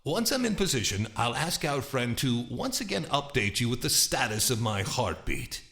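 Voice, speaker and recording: A man playing a poised stage illusionist in an audiobook, formal and calmly assured as he addresses his audience. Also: mid-range voice, normal speed. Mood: calm, showmanlike. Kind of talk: acted audiobook dialogue.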